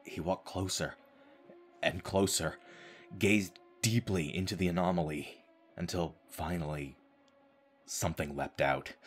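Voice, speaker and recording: Man playing a deep-voiced, haunted space janitor. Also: steady tone, unhurried, long pauses, husky, soft background music. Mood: ominous. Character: haunted male space-base janitor recounting a demonic invasion. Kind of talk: dramatic scripted audio-drama narration.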